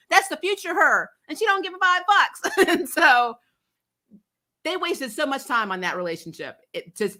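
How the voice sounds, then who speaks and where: Blunt, bright-voiced woman hosting a recap. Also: on the high side. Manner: blunt, emphatic, freely swearing. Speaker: host of a TV recap show. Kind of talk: opinionated casual chat reviewing TV episodes.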